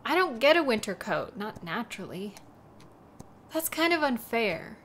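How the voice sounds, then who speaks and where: A woman playing a devoted, worried young woman in an intimate audio roleplay, speaking tenderly and haltingly with a wistful manner. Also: a little high, very animated, fairly bright tone, a little hiss or hum. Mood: tender, gentle.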